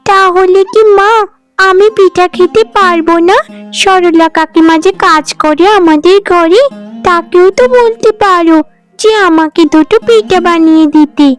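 A little cartoon village girl with a tiny, very high voice. She is childish, excitable and whiny, over a bed of music. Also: unhurried. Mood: pleading. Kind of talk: Bengali cartoon voice acting.

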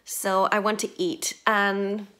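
A woman in her twenties chatting casually to her phone in a small bedroom, full of "like" and little giggles, her voice a bit croaky. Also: mid-range voice, flat delivery, slow pace, sharp tone. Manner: chatty, lots of "like", giggly asides. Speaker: vlogger. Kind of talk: casual vlog chat. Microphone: phone held at arm's length.

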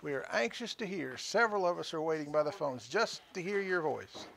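A man's voice: fairly high pitch, expressive, brisk, vocal fry, a bit bright. An older man hosting an auction show, casually describing items for sale with folksy jokes. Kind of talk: casual on-air sales pitch. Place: small studio room with sale items.

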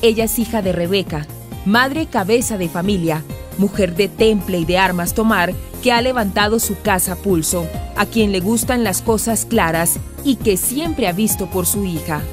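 A woman's voice: medium pitch, moderate pace, music in the background. A woman with a Colombian accent reading scripted narration for a radio drama.

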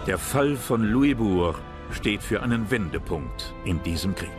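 A man narrating a historical documentary from a script in a measured, serious manner. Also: fairly low pitch, lively intonation. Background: background music.

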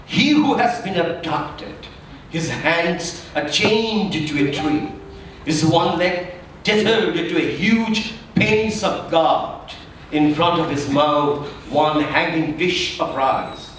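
An older man with a Bengali accent recites a poem at a stand mic on a large stage, unhurried and dramatic, sinking almost to a hush. His fairly high voice rings out across a very echoey hall and is heard from some distance, with faint room ambience beneath it. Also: a little hiss or hum. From India. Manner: measured, dramatic recitation that drops to a hush at the end. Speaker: poet. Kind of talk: poetry reading. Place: large dark stage in an indoor auditorium.

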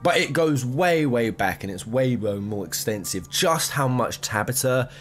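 Young guy ranting bout games into a desk mic, voice jumping all over, bit of quiet music behind. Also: medium pitch, a little slow. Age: young adult. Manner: animated, rambling, frequent restarts. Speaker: gaming video host. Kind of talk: opinionated commentary to camera. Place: small room, gaming chair, green-screen backdrop.

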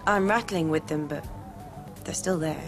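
An actress playing a troubled, frank and curious young student in a radio drama. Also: female voice, sits low, normal speed. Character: troubled, curious young woman student who hears voices. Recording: music behind the voice.

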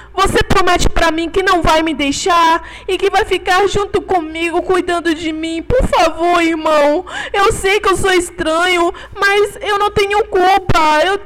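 A woman with a Brazilian accent voicing a timid, lonely, odd-looking baby male dinosaur who pleads and stammers. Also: very high voice. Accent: Brazilian Portuguese. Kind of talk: game roleplay voice acting.

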